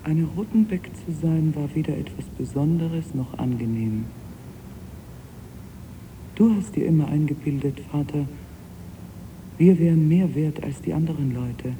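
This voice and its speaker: An actress playing an unhappy, devoted and bitter wife in a 1980s German radio drama, speaking in a deliberate, reflective, literary way. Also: female voice, sits low, dark tone. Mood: reproachful. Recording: noisy cassette copy of radio play.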